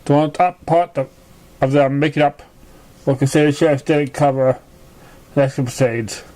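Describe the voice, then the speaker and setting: A man reading a story aloud as narration, halting and often stumbling over the words. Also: on the high side, fairly even intonation, dark tone. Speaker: amateur fan fiction reader.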